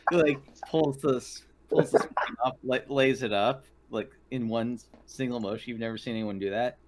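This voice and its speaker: A man's voice: mid-range voice, moderate pace. Loose-talking man with a mid-range voice. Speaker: player in a tabletop roleplaying game. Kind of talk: casual in-character game talk.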